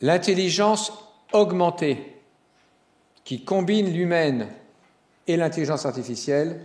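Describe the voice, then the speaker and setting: A man giving a conference keynote as a hospital executive, animated and joking, with some fillers and restarts. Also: a little high, measured pace. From Italian descent. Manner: animated speaker with jokes and fillers. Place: conference hall. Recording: roomy hall sound.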